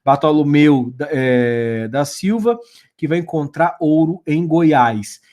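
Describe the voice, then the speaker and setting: A man's voice: a little high, slow, darkish tone. Rambling man lecturing with many fillers. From Brazil. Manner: loose, full of fillers and restarts. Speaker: history teacher. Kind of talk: classroom lecture over slides.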